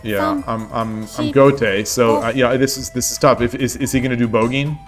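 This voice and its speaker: Male streamer, casual thinking-aloud commentary, mid-range voice, soft music bed. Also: fairly even intonation, normal speed. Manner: thinking aloud, repeats and restarts, low-key. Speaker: streamer playing online shogi.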